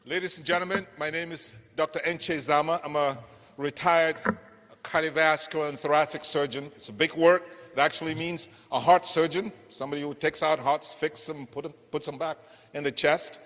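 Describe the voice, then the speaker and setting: Older man from Cameroon, bright voice in a hall. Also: mid-range voice. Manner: earnest, storytelling, slow deliberate emphasis. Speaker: political candidate speaking at a meeting. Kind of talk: campaign speech. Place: meeting hall. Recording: reverberant hall.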